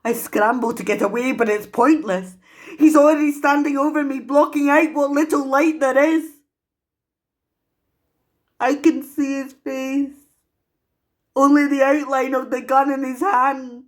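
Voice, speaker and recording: A woman with a Scottish accent performing a dramatic, emotive solo stage monologue as a traumatised young woman raised in an orphanage, pausing deliberately. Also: high-pitched, fairly even intonation. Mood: terrified, anguished.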